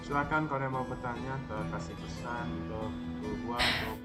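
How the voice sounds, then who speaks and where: Relaxed man streaming a game. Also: medium pitch, fast. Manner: relaxed, muttering to himself. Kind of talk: casual live stream commentary. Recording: loud game music over voice.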